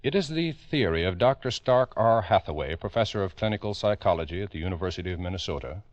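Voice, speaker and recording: A man with an American accent narrating a 1950s radio true-crime drama as host, in a grave, emphatic, deliberate way. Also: deep voice, animated, dry recording. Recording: dull old radio recording.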